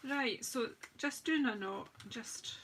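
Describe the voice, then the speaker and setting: A woman with a slightly croaky voice, chatting and rambling as she hosts a live craft demonstration. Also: fairly high pitch, slow, a bit bright, slight background noise. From United Kingdom. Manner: chatty, rambling, self-deprecating, talks while working. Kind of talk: live-stream craft demo chat.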